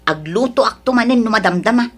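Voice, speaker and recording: Woman acting a fed up nagging farm wife in a radio drama, high voice. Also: animated, quick pace, natural voice. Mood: exasperated. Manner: nagging, complaining. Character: exasperated complaining wife of a sickly farmer.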